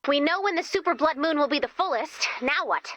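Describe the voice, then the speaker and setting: A woman's voice: high voice, animated, brisk, a bit bright, dry recording, clean studio sound. A woman voicing a quirky, chirpy and playful commander character in a video game. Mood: upbeat, wry.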